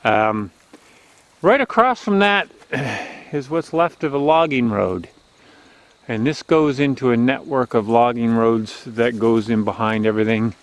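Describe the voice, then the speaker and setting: A man with a strong, loud voice hosting a walking video outdoors on an overgrown logging road, chatty and rambling as he narrates, recorded on a handheld camera. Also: mid-range voice, slight background noise. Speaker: channel host. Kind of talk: casual walking vlog narration. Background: faint outdoor nature ambience.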